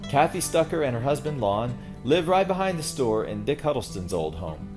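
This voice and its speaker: With plucked guitar clearly playing underneath, a man narrates a history tour from a script. His medium-pitched voice rises and falls in a lively, animated way as he hosts. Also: moderate pace.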